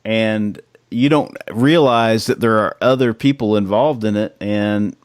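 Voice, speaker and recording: A man's voice: lowish voice, a little slow, smooth, no room sound, clean studio sound. A man co-hosting a podcast, chatting casually in an emphatic, slangy, informal style. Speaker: podcast co-host.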